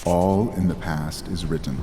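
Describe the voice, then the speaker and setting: An actor playing a solemn robed head librarian in an audio drama, speaking in a formal, measured, ceremonious way. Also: male voice, deep voice, fairly even intonation. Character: tall bald solemn male head librarian in robes. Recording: long hall reverb, music underneath.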